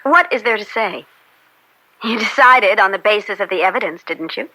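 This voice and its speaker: An actress playing a judge's young student daughter in an American radio drama, sullen and distrustful, with curt, cutting replies and an American accent. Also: female voice, mid-range voice, lively intonation, normal speed, pushed and bright, no echo, rough recording. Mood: sullen, guarded. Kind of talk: old-time American radio drama acting.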